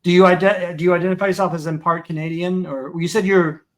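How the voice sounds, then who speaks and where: A high-voiced man hosts a podcast interview. He asks his questions haltingly, restarting and repeating words as he feels his way. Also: steady tone. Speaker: podcast host. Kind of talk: interview conversation.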